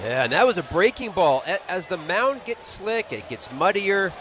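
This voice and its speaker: A man's voice: sits high, very animated, moderate pace. American male baseball analyst, noisy live broadcast. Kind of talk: conversational game analysis.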